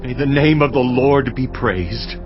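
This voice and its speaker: A man's voice: medium pitch, unhurried, lightly acted. A man voicing Job, fervent and prayerful. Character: Job, grieving devout man, middle-aged. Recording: dull lo-fi recording. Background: cinematic music.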